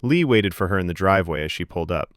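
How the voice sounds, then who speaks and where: A man reading scripted fiction as a podcast narrator, with expressive storytelling delivery. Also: sits low, fast talker, no echo, clean studio sound.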